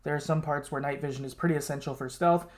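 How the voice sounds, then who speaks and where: A man's voice: on the high side, steady tone, fast talker. Casual male podcast host. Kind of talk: casual gaming podcast chat.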